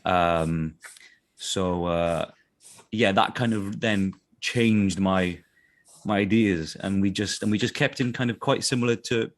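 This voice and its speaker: Low-voiced South Welsh man in casual interview. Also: slow pace. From South Wales. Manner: rambling, self-correcting, checks listener follows. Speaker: voice actor being interviewed.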